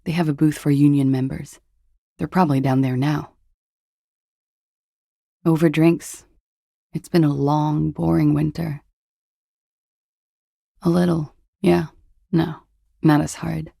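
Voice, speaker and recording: An actress playing a jaded, hard-partying young disco dancer in a video game, husky and languid, with a guarded, deadpan delivery. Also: female voice, low-pitched, slow pace, pauses often, no room sound, studio quality, full character voice. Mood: weary, confused. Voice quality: husky, gravelly with vocal fry. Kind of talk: video game voice acting, intimate dialogue.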